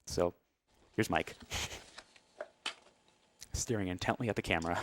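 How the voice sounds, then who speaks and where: A man's voice: low voice, a little slow, a little hiss or hum. A man giving casual live commentary as a speedrunner on a video game, with amused, wry asides. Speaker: speedrunner commentating on own play. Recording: loud game music behind the voice. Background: loud video game music.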